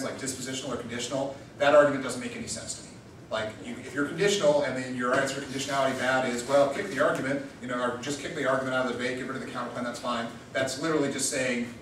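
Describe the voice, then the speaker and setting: Fast-talking young man lecturing in echoey room. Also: medium pitch, a bit bright, distant mic. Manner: joking, rapid-fire, quizzes students. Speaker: debate theory lecturer. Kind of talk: informal classroom lecture. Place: classroom. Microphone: far room mic. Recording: echoey room recorded from afar with noise.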